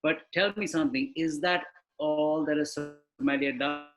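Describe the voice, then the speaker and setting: A man's voice: a little high, fairly even intonation, moderate pace, fairly bright tone. Man giving a webinar talk. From India. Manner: conversational, explanatory, asks rhetorical questions. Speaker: webinar panelist. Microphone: video call.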